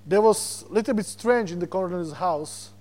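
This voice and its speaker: A man from Slovakia with a Slovak accent, preaching a sermon as a guest preacher, animated and repeating words as he searches for them. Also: high-pitched, moderate pace. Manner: animated, repeats words when searching, chuckles between points.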